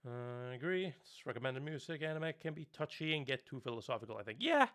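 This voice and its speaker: A man's voice: mid-range voice, very animated, fast talker, pushed and bright. A young man from Norway, a native Norwegian speaker talking in English, chatting casually on a live stream, rambling and laughing often.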